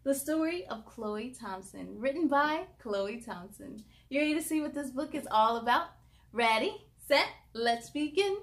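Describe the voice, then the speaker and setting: A young American woman hosting a children's story time, reading aloud expressively with playful, animated delivery and friendly asides. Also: high-pitched, a little slow. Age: young adult. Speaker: host of a children's read-aloud show.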